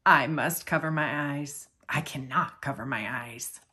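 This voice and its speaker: A woman's voice: low-pitched, a little slow, bright, forceful tone, husky, pitched below the performer's own voice, exaggerated voice. A woman voicing an anxious, dramatic male elephant in a picture-book story, given to exclaiming. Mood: despairing.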